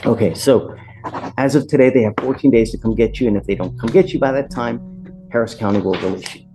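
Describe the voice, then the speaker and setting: A man's voice: mid-range voice, fast. A man speaking as a judge in a courtroom, informal, blunt and plain-spoken. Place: indoor courtroom. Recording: courtroom audio with music added.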